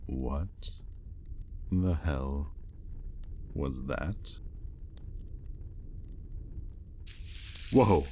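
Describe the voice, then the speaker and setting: A man playing an imperious, sardonic demon in an intimate audio roleplay, with a deliberate, menacing drawl and easily exasperated temper. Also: low voice, a little mellow, a little hiss or hum, heavily acted. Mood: startled, commanding.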